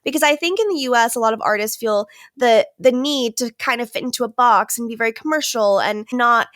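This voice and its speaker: An enthusiastic woman hosts an interview podcast, her voice sitting on the higher side. The sound is dry and close, with no room echo. She leads with upbeat, eager questions. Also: a little fast, a bit bright. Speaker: podcast host. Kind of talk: interview hosting.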